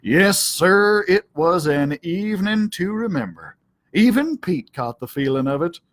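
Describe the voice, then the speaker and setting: A man's voice: high voice, lively intonation, measured pace, a bit bright. A man reading a children's story aloud as a library storyteller, theatrical and folksy.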